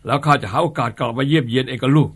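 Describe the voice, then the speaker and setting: A rough middle-aged outlaw leader barks orders in coarse country speech, as a man plays him. His voice sits mid-range and sounds gruff. It is a radio-style drama. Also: medium pitch, very fast, a bit bright, close to an everyday voice. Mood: tender.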